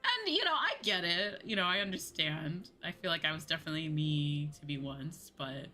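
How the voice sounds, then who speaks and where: A woman's voice: deep voice, lively intonation, moderate pace, sharp tone, faint music behind. A woman streamer talking casually and conversationally in a livestream chat. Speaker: VTuber streamer.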